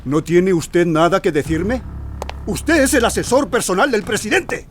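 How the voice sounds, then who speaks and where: An actor playing a stubborn, shaken vice president in an audio drama, speaking in a demanding, insistent way. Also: male voice, high-pitched, sing-song, unhurried, slight background noise. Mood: indignant, insistent. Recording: music behind the dialogue.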